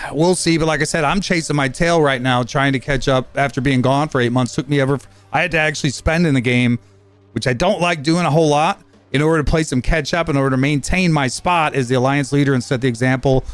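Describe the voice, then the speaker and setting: A man in his fifties giving a rambling, casual update on his gaming channel, talking fast with frequent fillers and restarts. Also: mid-range voice, smooth, no room sound. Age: 50s.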